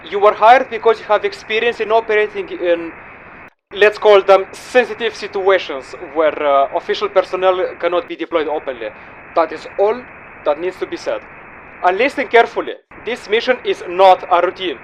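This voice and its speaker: A stern male mission handler, acted by a man with a high voice for a man. He briefs formally and curtly in a game audio log, businesslike and unyielding, over faint background noise. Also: moderate pace, close to an everyday voice, low-quality recording. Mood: firm, serious.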